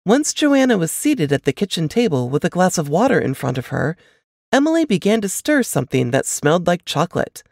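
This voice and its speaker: A woman's voice: lowish voice, sing-song, a little fast, creaky, a little mellow, no room sound, studio quality. A woman reading a novel aloud as scripted story narration.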